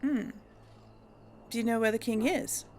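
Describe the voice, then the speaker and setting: A woman's voice: a little high, measured pace, a little mellow, a little hiss or hum. A woman hosting a casual role-play game video, talking playfully as she goes. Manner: playful, chatty, laughs often. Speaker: let's play host.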